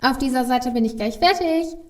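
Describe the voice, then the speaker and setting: A woman playing a small, eager, excitable male Christmas elf in a children's audio play. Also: high voice, moderate pace, no room sound, natural voice. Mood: playful.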